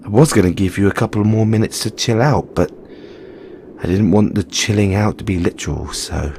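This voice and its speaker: A man's voice: on the low side, moderate pace, dark tone, a little hiss or hum, close to an everyday voice. A man playing a frank, caring Christmas elf in an audio roleplay, earnest and informal, chiding yet reassuring. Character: frank, caring male Christmas elf, weary but supportive. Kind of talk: second-person audio roleplay monologue.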